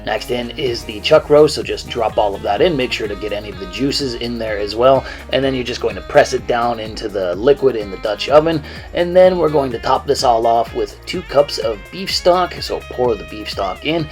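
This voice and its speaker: A young man with a hoarse, stuffed-up voice, chatting his way through a recipe on his cooking channel. He keeps it laid-back and self-deprecating, tossing in the odd curse word, at an everyday mid pitch over faint background music. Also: expressive, moderate pace. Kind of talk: casual recipe walkthrough.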